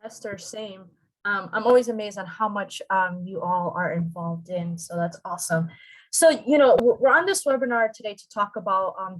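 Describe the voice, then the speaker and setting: A woman's voice: medium pitch, poor audio. This is a woman in a middle register moderating a webinar over a video call. She speaks in an upbeat, friendly way as she brings in each panelist.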